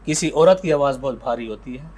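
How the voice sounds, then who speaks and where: A man with a Pakistani accent, an ENT surgeon giving measured explanations in an interview and mixing in English terms. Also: medium pitch, a little mellow.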